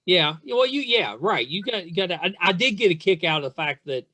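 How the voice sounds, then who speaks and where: A man's voice: high voice, lively intonation, brisk, sharp tone. A middle-aged man hosting a casual interview, enthusiastic and rambling in the way he talks. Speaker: podcast host interviewing a guest. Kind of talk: casual chat.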